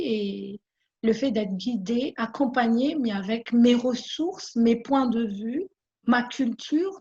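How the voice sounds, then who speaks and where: Creaky-voiced woman, earnest and halting. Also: a little high, a little mellow, muffled recording. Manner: earnest, often repeats words while searching for them. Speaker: business owner, interviewee. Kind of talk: casual conversational testimony.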